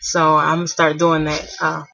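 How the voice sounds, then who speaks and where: A woman in her twenties chatting casually to camera at home. She rambles with lots of fillers and restarts, and keeps her voice soft so she won't wake a napping child. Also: sits low, monotone, normal speed, vocal fry, muffled recording. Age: 20s. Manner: speaking softly to keep a napping child asleep. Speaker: hair vlogger.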